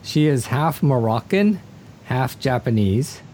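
A woman's voice: rumbling low, animated, slow pace, dark tone, slight background noise. A woman hosting a casual interview, chatting and asking playful questions. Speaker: host.

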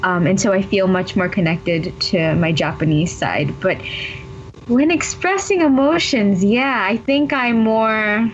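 A woman answers interview questions in a thoughtful, hesitant way, often pausing on ums. The sound is a little dull, with faint noise underneath. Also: medium pitch. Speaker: guest.

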